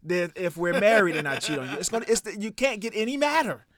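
A man's voice: high-pitched, sing-song, fast talker, crowd noise. A man hosting a casual podcast chat, reading out listener responses and laughing often. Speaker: podcast host.

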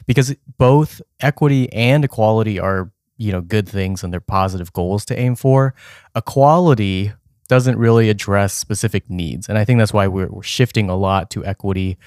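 A man's voice: fairly low pitch, a little mellow, no echo, clean studio sound. A young man co-hosting an educational podcast, earnestly explaining terms in a casual tone. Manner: explains definitions earnestly, some "um" fillers. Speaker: podcast co-host.

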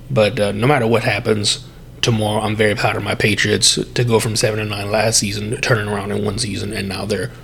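A man's voice: lowish voice, gravelly, slight background noise. Congested man hosting a sports podcast. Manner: chatty, self-correcting, heavy breathing. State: congested with sore throat. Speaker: podcast host. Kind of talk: solo sports podcast predictions.

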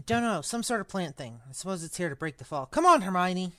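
A woman's voice: fairly low pitch, animated, brisk, bright, forceful tone, no echo, studio quality. A woman voicing a blunt, nervous, sarcastic schoolboy from a storybook, given to grumbling and exclaiming. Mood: dazed.

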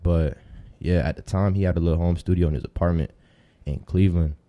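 A man's voice: low voice, fairly even intonation, brisk, dull audio. A young American man of about twenty-one chatting casually as a podcast guest, telling stories in informal street slang. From Tampa, Florida, United States. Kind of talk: casual chat, storytelling.

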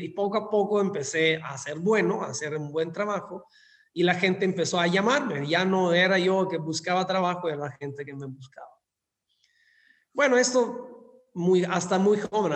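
A fifty-year-old man from southern Italy with an Italian accent, telling his story as an interview guest in Spanish as a second language, courteous and often repeating words. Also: high-pitched. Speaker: interview guest, hotel managing director. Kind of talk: conversational personal storytelling. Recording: long hall-like reverb.

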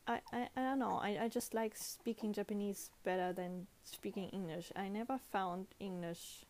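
German-accented woman, mellow chatty voice. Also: medium pitch, fairly even intonation, moderate pace. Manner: chatty, self-correcting, with frequent restarts. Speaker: podcast host. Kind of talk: casual monologue.

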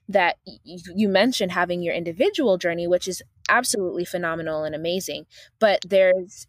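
Woman from Uganda, host in casual conversation, reflective and playful, low voice. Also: dry recording.

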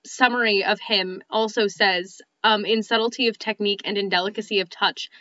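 A woman's voice: mid-range voice, steady tone, brisk, pushed and bright, no echo, dull audio. A young woman hosting a podcast in a casual, playful, slangy chat. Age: young adult. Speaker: podcast host.

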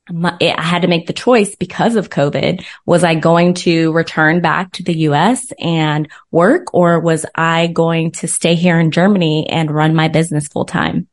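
A woman with an American accent telling personal stories as an interview guest, chatty and informal, often acting out bits of dialogue. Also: lowish voice. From born in Zimbabwe, raised in the United States. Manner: chatty, informal, animated retelling with quoted dialogue. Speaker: interview guest, business coach. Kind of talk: casual personal storytelling in an interview.

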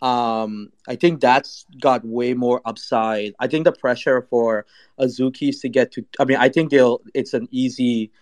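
Male panelist, mid-pitched voice, loose slangy chat with plenty of swearing. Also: fairly even intonation, measured pace, darkish tone. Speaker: panelist on a crypto talk show.

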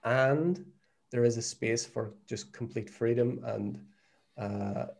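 Northern Irish male guest, 40s, relaxed dark timbre, sincere and searching, restarts phrases mid-thought. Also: medium pitch, slow pace, gravelly. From Northern Ireland. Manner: earnest, searching, repeats words while thinking. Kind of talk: reflective conversational podcast talk.

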